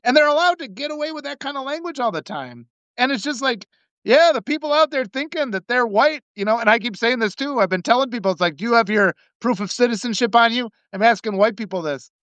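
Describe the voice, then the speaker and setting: A man from Wisconsin hosting a casual political talk, animated and swearing, acting out the people he quotes. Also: extremely high pitch, fast, no room sound. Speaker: host. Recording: muffled lo-fi sound.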